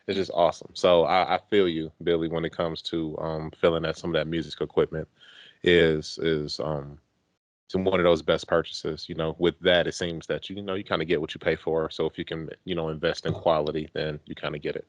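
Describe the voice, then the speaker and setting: Very low-voiced man chatting casually. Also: flat delivery, brisk, no echo. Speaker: podcast co-host. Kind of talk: casual chat.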